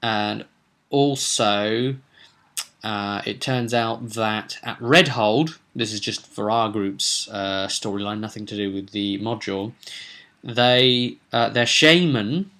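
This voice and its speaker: A man talking informally as a game master in a vlog, in a rambling, digressive way with halting self-corrections. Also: lowish voice, bright, forceful tone. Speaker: game master recapping his roleplaying sessions.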